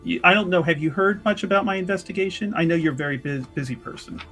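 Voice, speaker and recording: Roleplaying game investigator, a polite woman, played by a man, formal and ingratiating, voice a little high for a man. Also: fast talker, fairly bright tone, faint music behind. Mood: careful, diplomatic. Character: polite, diplomatic female investigator, courteous and careful.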